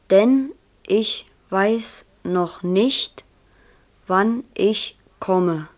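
An actress playing someone reading a simple letter aloud, haltingly and word by word, in a German radio play. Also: female voice, mid-range voice, darkish tone.

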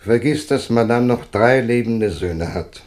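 A man's voice: mid-range voice, unhurried, a little mellow, lightly acted. An actor playing an ambitious nobleman and husband in a 1970s radio drama, wry and commanding.

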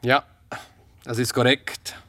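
A guarded old campaigner in a radio drama, a taciturn former soldier. He speaks slowly at a medium pitch, giving terse, evasive answers in a dry recording. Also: no echo. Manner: terse, flat one-word answers, evasive.

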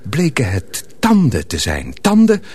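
A man narrating a radio play as a measured, expressive storyteller reading from a script. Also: medium pitch, a little hiss or hum.

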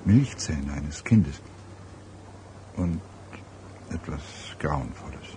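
An actor in a German radio drama playing a sombre, puzzled police detective, speaking gravely with halting, musing pauses. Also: male voice, rumbling low, lively intonation, dark tone, slight background noise, exaggerated voice. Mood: grim, disturbed.

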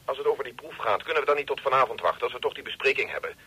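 An actor playing an impatient, reluctant son protesting briskly over a telephone in a radio drama. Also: male voice, medium pitch, animated, sharp tone, slightly rough, no echo, exaggerated voice, rough recording. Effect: telephone filter. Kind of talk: Dutch radio drama acting.

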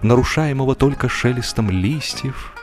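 A man performing a genial, wry gentleman who tells a humorous story in a measured, theatrical, expressive way. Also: medium pitch, lightly acted. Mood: solemn, wistful. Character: genial, wry, musing gentleman narrator of a comic tale. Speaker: audiobook narrator and actor. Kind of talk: dramatized first-person humorous story narration. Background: music.

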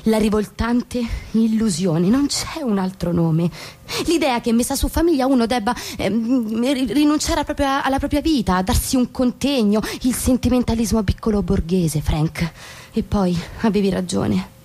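An actress as soft, husky, anguished young wife. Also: female voice, a little high, natural voice. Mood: anguished, self-reproaching. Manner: halting, repeating words, intense and confessional. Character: anguished young suburban wife confessing to husband. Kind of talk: theatrical radio drama dialogue.

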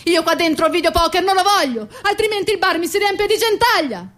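A woman's voice: very high-pitched, expressive, quick pace, a bit bright, voice pushed up. A woman playing a desperate, worn-out male bar owner, pleading and insistent in a stage monologue.

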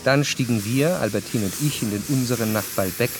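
A man narrating literary prose for a radio drama in measured, deliberate phrases. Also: medium pitch. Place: radio studio. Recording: dry studio with music behind.

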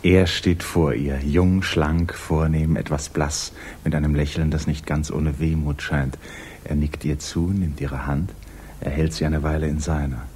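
An actor narrating a 1950s literary radio drama as a grave, intimate storyteller with measured, dramatic delivery. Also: male voice, a bass voice, level tone, a little mellow, slight background noise. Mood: hushed, intense. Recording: 1950s radio recording, music behind.

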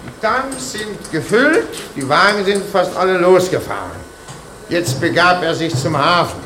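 An actor playing a dry, incorruptible police inspector in a 1950s German radio play, deliberate and matter-of-fact, with a creaky voice. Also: male voice, high voice, sing-song. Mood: brisk, recounting. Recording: noisy 1950s radio recording.

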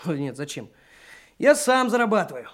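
Cocky, high-voiced young trainee detective. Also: male voice, sing-song, moderate pace, fairly bright tone. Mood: breezy, persuasive. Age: young adult. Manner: brash, confident, lecturing. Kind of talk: Soviet radio drama acting. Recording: 1980s radio drama, music behind.

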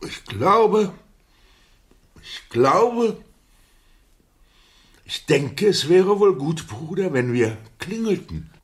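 An actor playing a mild, simple-hearted gentleman in a period radio play, speaking in a hesitant, deliberate manner. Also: high voice, very animated, full character voice.

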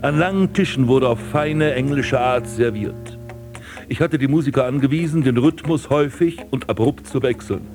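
An actor playing a vain, scornful prince and landscape gardener in a radio drama, speaking in pompous, ornate declamation. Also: male voice, medium pitch, normal speed, music in the background, lightly acted. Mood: brooding storytelling. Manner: pompous, declamatory, ornate old-fashioned diction. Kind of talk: literary radio drama acting, monologue and dialogue.

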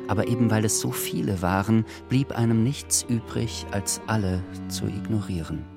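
A man narrating a literary radio drama in a measured voice. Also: on the low side, vocal fry, over music.

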